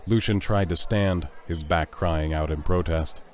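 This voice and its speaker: A man's voice: deep voice, a little slow. A man narrating a scripted fantasy story as the narrator of an audio drama. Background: loud music.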